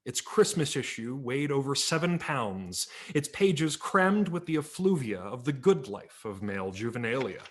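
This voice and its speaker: A man narrating a humorous memoir story as a dramatic reading, wry and theatrical, letting his voice drop low at the ends of phrases. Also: fairly bright tone. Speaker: audiobook narrator. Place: home, virtual reading.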